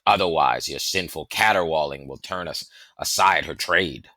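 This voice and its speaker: A man voicing a big, hearty, commanding fellow, gruff but fond, with blustering theatrical delivery in a storybook reading. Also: very deep voice, moderate pace, bright, forceful tone, no room sound, exaggerated voice. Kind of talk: storybook character voice in audiobook reading.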